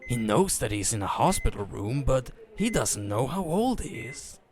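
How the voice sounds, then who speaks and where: A man narrating a story as a dramatic reading, theatrical in manner with deliberate pauses. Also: medium pitch, very animated, darkish tone.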